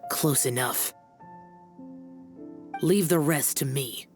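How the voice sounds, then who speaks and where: An actor playing a stern, aloof young immortal demon slayer in an anime-style video game, speaking solemnly and formally. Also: male voice, medium pitch, very slow. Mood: solemn, commanding. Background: music.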